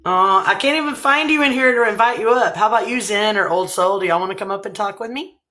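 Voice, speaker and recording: A woman's voice: mid-range voice, fast, sharp tone. Texan woman hosting a casual live chat. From Texas. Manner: chatty, swearing, teasing, laughing. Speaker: livestream host.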